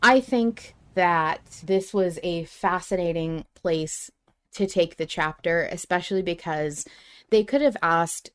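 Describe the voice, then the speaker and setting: A woman co-hosting a podcast in casual fan discussion, speaking emphatically and quick to laugh. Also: fairly low pitch, smooth. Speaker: podcast co-host.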